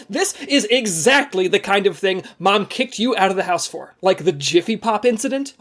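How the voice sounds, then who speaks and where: An actor playing a fussy, sarcastic, exasperated big-brother secret agent with a precise way of talking, in a comedy audio drama. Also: male voice, extremely high pitch.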